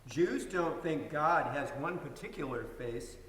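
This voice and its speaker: A man's voice: fairly high pitch, animated, measured pace, fairly bright tone, far from the mic. A man playing storybook parts in a plain, earnest dramatic reading. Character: storybook narrator sharing parts of boy and carver. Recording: echoey hall from afar, faint hiss.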